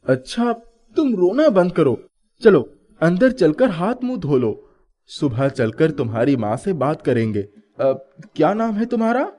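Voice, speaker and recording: A man voices a humble young herdsman in a storybook tale, earnest and kind. His voice is fairly high, mellow and very animated. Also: measured pace. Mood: concerned.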